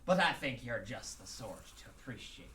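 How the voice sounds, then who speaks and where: An actor voicing a gleeful, sly pirate crime lord with a stage pirate brogue and theatrical archaic speech, in a radio drama. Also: male voice, mid-range voice, expressive, moderate pace, fairly bright tone, recorded from a distance, a little hiss or hum, close to an everyday voice. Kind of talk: amateur radio drama acting.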